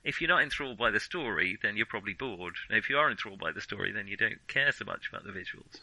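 Middle-aged man, British accent, very bright sharp voice, lowish pitch, casual opinionated podcast chat. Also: lively intonation, fast, no echo, poor audio. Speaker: podcast co-host. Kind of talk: casual chat about films.

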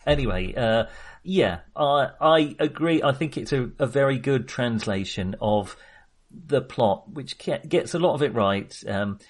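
A man's voice: mid-range voice, expressive, unhurried. Man in hesitant, casual film chat. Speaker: podcast co-host discussing films.

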